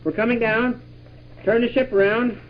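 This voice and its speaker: A man playing an excitable astronaut commander in an improvised pretend radio play, exclaiming and giving urgent orders. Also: very high-pitched, normal speed. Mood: excited. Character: excitable male astronaut commander leading a moon trip. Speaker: father playing with his children. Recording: muffled, very noisy 1960s home tape.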